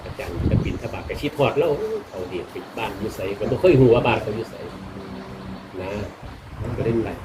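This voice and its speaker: A man's voice: lowish voice, lively intonation, a little fast. A man with an Isan accent from northeastern Thailand, a Buddhist monk talking in a folksy, colloquial way to temple visitors and giving blessings. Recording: noisy live recording with background ambience.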